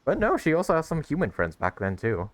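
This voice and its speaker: A man's voice: a little high, wildly swooping pitch, fairly quick, no room sound. A man giving casual reaction commentary as a game streamer, musing aloud conversationally into a close mic.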